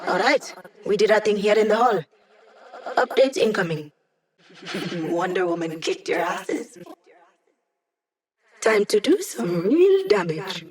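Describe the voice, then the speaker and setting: Young hacker woman, digital mind, medium pitch, casual, teasing, upbeat, video game character.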